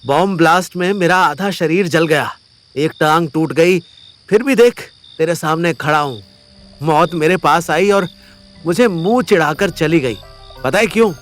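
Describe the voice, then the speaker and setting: Man acting wounded defiant hero, slow, higher-pitched. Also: lively intonation. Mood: grave, regretful. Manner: grave, defiant, deliberate. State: in pain, labored. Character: wounded, defiant, world-weary young man facing death. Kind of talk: Hindi audio drama acting.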